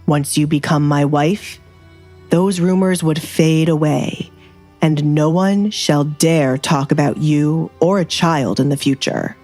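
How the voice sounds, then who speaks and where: Woman voicing a cool, domineering aristocratic man, lowish. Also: takes it slowly, dark tone. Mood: assured. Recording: faint background music.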